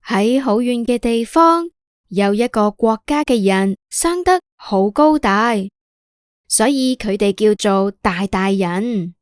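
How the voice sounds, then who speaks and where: A woman's voice: fairly high pitch, animated, slow, clear, resonant tone, dry recording, studio quality. A woman with a Hong Kong accent narrating a scripted children's story in a simple, explanatory way.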